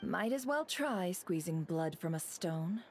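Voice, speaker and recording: A woman playing a composed, self-assured investigator in a video game, measured and matter-of-fact. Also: on the low side, animated, faint music behind. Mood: calm, assured.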